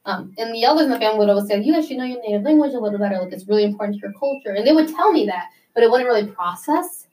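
A woman's voice: fairly high pitch, lively intonation, racing, few pauses, warm tone, distant mic, low-quality recording. Chatty young woman, a bit off-mic. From United States. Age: young adult. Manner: chatty, many "like" fillers. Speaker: interviewee. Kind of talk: casual interview.

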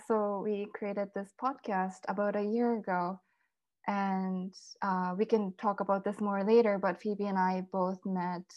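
A young woman introducing herself casually, speaking softly with frequent pauses and ums. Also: mid-range voice. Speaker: podcast co-host, guest. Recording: dull lo-fi sound.

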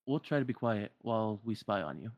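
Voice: mid-range voice, expressive, a little fast, dry recording, lo-fi sound, close to an everyday voice. A performer voicing a laid-back, joking teenage boy friend with a casual, teasing delivery in a game story.

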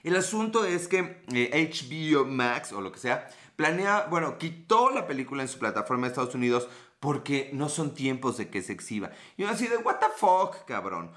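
A man's voice: medium pitch, very animated, moderate pace, a bit bright. A Mexican man with a Mexican accent hosting a casual livestream, greeting viewers by name and joking with profanity and sarcasm. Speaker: livestream host.

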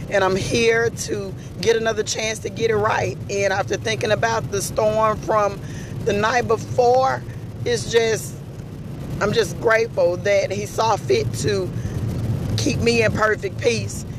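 A hoarse, raspy woman giving an earnest personal testimony, telling a story to her aunt in a faith-filled way. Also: mid-range voice, steady tone, a little slow, noisy background. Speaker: podcast speaker.

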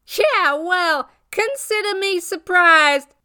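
A woman's voice: very high voice, animated, very slow, bright, forceful tone, voice pushed up, full character voice. A woman voicing a furious, foul-mouthed woman who scorns her ex in an audiobook, emphatic and scathing. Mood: exasperated. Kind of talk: audiobook character voice.

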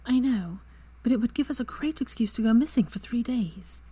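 A woman voicing a bright, eager young witch in a higher, lively voice that often drops to a hushed whisper. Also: a little fast, husky. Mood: affectionate.

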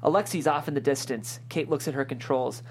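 A woman's voice: very deep voice, a little fast, darkish tone. Woman narrating action script, noisy recording. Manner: punchy, dramatic sound-effect words. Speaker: stage-directions reader.

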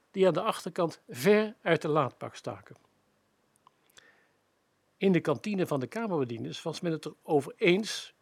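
An actor playing a practical hotel manager telling his story in a radio play, with a gravelly, husky voice. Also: on the high side, no echo, exaggerated voice.

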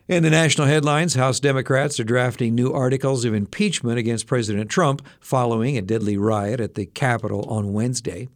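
A man hosting a casual daily news briefing, slightly hoarse and adding personal asides to the headlines. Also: mid-range voice, dry recording, clean studio sound. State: slightly hoarse, losing his voice. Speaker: radio news briefing host.

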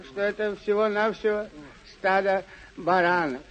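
A man's voice: high voice, steady tone, a little slow, a bit bright. A man playing an earthy, loyal but fed-up peasant squire in a classic radio play, grumbling plaintively. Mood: anxious, reproachful. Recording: dull old radio recording, faint music.

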